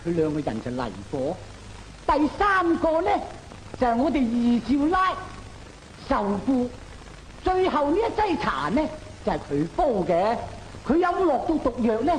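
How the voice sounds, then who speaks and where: An actor playing a timid household servant testifying in an old opera film, deferential and emphatic. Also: male voice, extremely high pitch, a little mellow, full character voice, poor audio. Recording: old film soundtrack with hiss.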